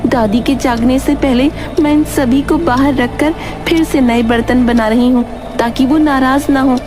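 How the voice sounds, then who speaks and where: A woman's voice: high-pitched, fairly even intonation, unhurried, over music, pitched above the performer's own voice. A woman voicing a humble, devout young village girl in a cartoon story, earnest, sweet and respectful. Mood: humble, polite. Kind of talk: Hindi cartoon moral story acting.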